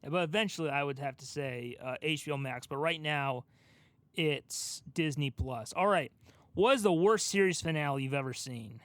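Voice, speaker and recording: A man with a slightly high voice speaks as a podcast co-host. He is informal and opinionated, in easy pop-culture chat. The sound is dry, with very little room. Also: normal speed, no room sound. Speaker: podcast co-host asking questions.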